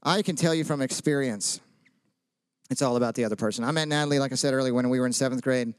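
A man's voice: medium pitch, quick pace, clear, resonant tone, no room sound. A man, preaching a casual sermon full of jokes, questions to the audience and personal stories. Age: 30s. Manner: chatty, joking, asks the audience questions.

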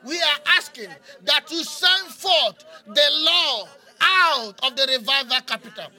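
A man's voice: extremely high pitch, lively intonation, unhurried, bright, forceful tone. A man preaching in fervent charismatic prayer, repeating phrases like a chant and breaking into speaking in tongues. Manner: impassioned, repetitive, incantatory phrasing.

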